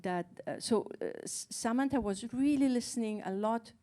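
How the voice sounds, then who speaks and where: Woman, native Farsi speaker, warm mellow voice, hesitant, pausing, panel talk. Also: fairly high pitch. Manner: hesitant, searching for words.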